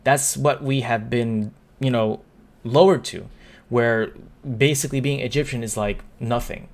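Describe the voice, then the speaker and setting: A young man speaks straight to his camera in a mid-pitched, everyday voice. He sounds upset but earnest, and he stumbles and restarts his sentences as he works through his point. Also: fairly even intonation, unhurried, gravelly. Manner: earnest, stumbling, restarts and trips over words. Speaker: YouTuber. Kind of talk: talking-to-camera opinion vlog.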